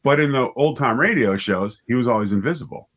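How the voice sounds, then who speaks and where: A man's voice: medium pitch, lively intonation, fairly quick. A middle-aged man speaking as a guest in a casual interview, enthusiastic and rambling, often repeating words as he thinks aloud. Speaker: interview guest, audio drama writer and director.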